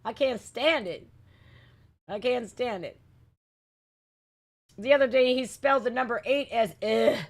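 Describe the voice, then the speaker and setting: A woman's voice: a little high, a little slow, pauses often, no room sound. Blunt American woman with a dry, close sound. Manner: blunt, sweary, folksy slang. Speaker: reaction video host. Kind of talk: casual livestream rant and chat.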